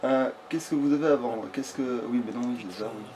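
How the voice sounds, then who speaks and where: A man's voice: medium pitch, fairly quick, dark tone, a little hiss or hum. A man giving casual, rambling let's play commentary, often swearing coarsely. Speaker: video game streamer.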